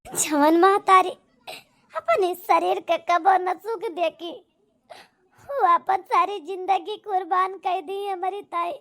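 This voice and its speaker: A woman's voice: very high voice, steady tone, fairly bright tone, pitched above the performer's own voice, exaggerated voice. A woman voicing a guilt-stricken daughter in a cartoon melodrama, crying and wailing remorsefully with an Awadhi accent. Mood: tearful remorse. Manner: wailing, drawn-out lament.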